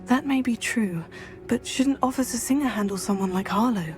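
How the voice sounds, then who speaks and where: A brave teenage student witch voiced by a woman. She speaks calmly and politely, keeping her composure in the manner of video game dialogue. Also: fairly high pitch, normal speed, faint music behind, close to an everyday voice. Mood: curious, composed.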